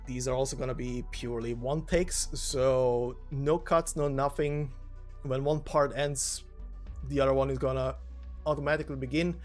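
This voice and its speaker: A man hosting a story narration channel, giving an informal, slightly halting spoken introduction. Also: medium pitch, steady tone, faint music behind. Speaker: narrator and host.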